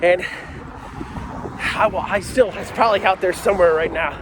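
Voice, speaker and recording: Higher-voiced American man over clear outdoor noise. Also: moderate pace. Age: middle-aged. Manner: rambling, self-deprecating, with comic asides. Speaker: storyteller. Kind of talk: casual comic personal storytelling.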